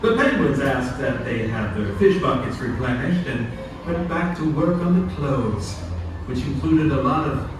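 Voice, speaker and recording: A man's voice: medium pitch, expressive, unhurried. A man delivering scripted storybook narration for a puppet show. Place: indoor attraction puppet show, heard from audience distance. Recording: echoey, distant venue with background noise.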